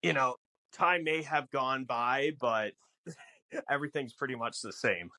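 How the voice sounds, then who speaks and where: A man's voice: mid-range voice, unhurried, sharp tone, dry recording. A man co-hosting a podcast, explaining things casually in an emphatic, rambling way. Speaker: podcast co-host. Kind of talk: casual film discussion podcast.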